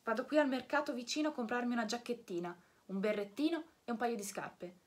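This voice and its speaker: A woman voicing an eager, warm-hearted wooden puppet boy, breathy and full of tumbling questions. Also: a little high, brisk, natural voice. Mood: cheerful. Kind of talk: storybook reading.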